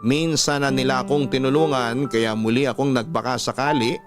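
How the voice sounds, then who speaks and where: A man's voice: medium pitch, fairly even intonation, brisk, music in the background, lightly acted. A Filipino man playing a regretful working-class father, telling his story in an earnest, confessional radio-drama narration. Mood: regretful, confiding.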